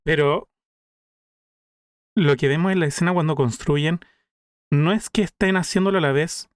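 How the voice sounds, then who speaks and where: A man's voice: fairly high pitch, moderate pace, soft background music. A man streaming a reaction to a video, musing aloud in a thoughtful, casual way.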